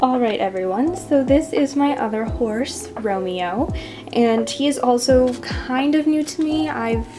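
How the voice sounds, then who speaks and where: A woman's voice: high-pitched, a little slow. A young woman in her teens narrating a casual horse vlog, chatty and full of fillers and little asides. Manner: chatty, many fillers and "you know". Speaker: toy horse vlog creator voicing a rider. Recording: voice-over with clear music underneath.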